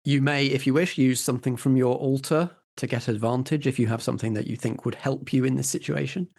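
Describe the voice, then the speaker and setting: A man's voice: medium pitch, steady tone, fairly quick, vocal fry, darkish tone. A man narrating scenes and giving rulings as the game master of a tabletop role-playing game.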